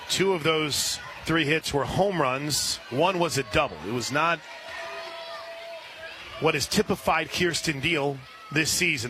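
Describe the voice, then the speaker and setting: A man calling live softball play-by-play as a radio announcer. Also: a little high. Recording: live outdoor ballpark broadcast, clear ambient noise.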